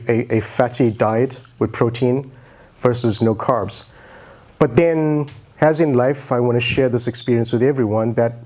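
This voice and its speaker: A man's voice: mid-range voice, a little mellow. A man in his forties telling his own story as a guest speaker, in an informal, joking, conversational way into a handheld microphone on stage. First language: English. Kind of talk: informal motivational talk on stage. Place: stage in an auditorium, indoors.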